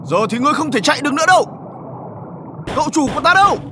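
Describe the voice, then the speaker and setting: An actor voicing an excitable, loyal male servant in a children's cartoon, with an urgent, exclamatory delivery. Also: sits high, very fast, noisy background. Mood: frantic. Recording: music under the voice.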